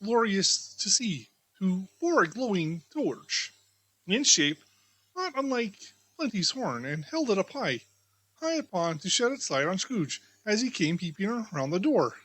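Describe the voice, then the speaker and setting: A woman storybook reader giving scripted, expressive audiobook narration of a classic tale. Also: mid-range voice, unhurried, slightly rough, low-quality recording.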